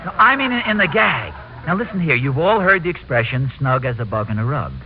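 A man's voice: mid-range voice, big pitch swings, a little fast. An American man playing a mild, good-humored middle-aged suburban husband in a casual, hesitant radio sitcom style. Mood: scheming. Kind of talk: old-time radio situation comedy acting. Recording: muffled, noisy old radio recording.

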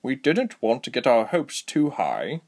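A man voicing a calm, encouraging male elf soldier in a fantasy storybook. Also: mid-range voice, measured pace, pitched above the performer's own voice, heavily acted. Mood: reassuring.

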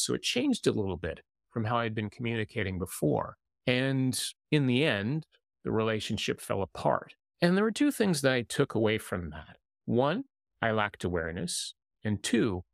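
Gravelly, measured male podcast host. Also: fairly low pitch, fairly bright tone, dry recording, clean studio sound. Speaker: podcast host and intercultural coach. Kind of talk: reflective scripted monologue and interview intro.

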